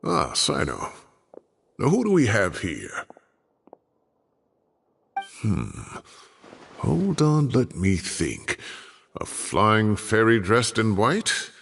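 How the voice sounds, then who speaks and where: Gravelly, theatrical old scholar. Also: male voice, fairly low pitch, wildly swooping pitch, very slow, long pauses, fairly bright tone, in a large hall, slight background noise, full character voice. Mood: musing, delighted. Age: older. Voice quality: gravelly, husky. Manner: theatrical, grumbling, musing. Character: genial, exasperated old retired male scholar. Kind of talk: video game voice acting.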